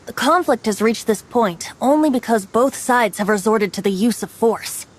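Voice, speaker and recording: A woman's voice: on the high side, animated, moderate pace, slight background noise, close to an everyday voice. A woman voicing a youthful, boyish male bard in a video game, whimsical and theatrical, with a playful, wistful lilt. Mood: musing. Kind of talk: video game character acting. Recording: music behind the voice.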